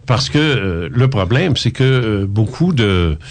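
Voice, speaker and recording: A man's voice: on the low side, expressive, takes it slowly, a crowd in the background. A man hosting a radio interview, talking hesitantly with many fillers and restarts. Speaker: radio host. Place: radio studio.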